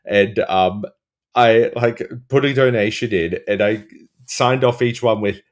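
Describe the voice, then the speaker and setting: A man's voice: on the low side, a little slow. A man chatting casually as a comedy panel guest, with dry, self-deprecating humour.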